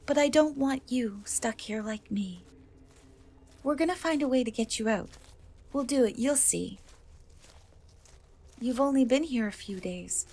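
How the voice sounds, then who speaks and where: Woman acting a gentle, slow-spoken half-cat girl. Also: fairly high pitch. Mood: reassuring. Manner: hesitant, soft-spoken, often slipping into whisper. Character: gentle half-cat young woman, kind, timid, reassuring. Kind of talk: dark fantasy ASMR roleplay, soft intimate acting. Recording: noticeable background noise and ambience.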